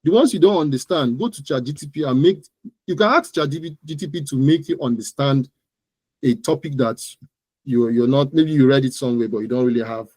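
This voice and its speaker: A man's voice: medium pitch, brisk, a little mellow, breathy edge, dry recording. A man with a Nigerian accent, explaining things as an expert interview guest in an emphatic, instructive, conversational way, often repeating phrases.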